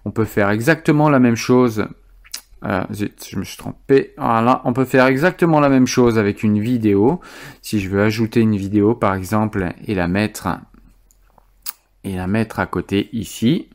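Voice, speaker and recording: A man presenting an informal software tutorial, explaining things step by step as he demonstrates them. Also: fairly low pitch, moderate pace.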